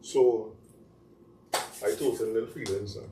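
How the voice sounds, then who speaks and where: Relaxed Trinidadian man hosting, roomy sound. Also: lowish voice, a little slow, a little hiss or hum. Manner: relaxed, loose, local slang. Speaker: host. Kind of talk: casual talk show chat. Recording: roomy, mic at a distance.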